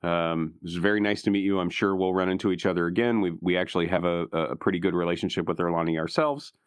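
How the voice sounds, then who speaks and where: Low-voiced man playing a tabletop game. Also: fairly even intonation, fast, smooth, dry recording, clean studio sound. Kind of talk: casual in-character table talk. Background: soft guitar music.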